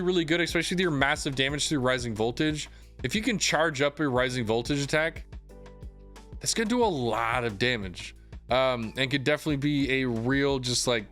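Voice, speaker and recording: A young man, a gaming YouTuber, giving a casual game guide in an upbeat, chatty way with lots of fillers, his voice slightly hoarse. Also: fairly high pitch, fairly bright tone.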